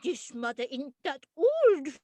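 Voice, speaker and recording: A woman voicing an eager, bookish little earthworm in a preschool cartoon, with expressive, exclaiming delivery. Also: high voice, slow, no room sound, heavily acted. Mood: indignant, prim. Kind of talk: animated cartoon table read.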